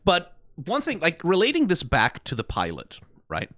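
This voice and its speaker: A man's voice: on the high side, wildly swooping pitch, moderate pace, fairly bright tone, slight background noise. A man hosting a reaction video, giving rambling, analytical criticism full of "like" and "right?" with a wry, profane edge. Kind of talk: casual critical commentary.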